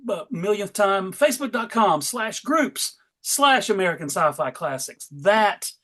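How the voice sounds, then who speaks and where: A man's voice: high voice, animated, measured pace, bright, forceful tone. A man hosting a casual, informal panel chat, correcting himself as he goes. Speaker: host.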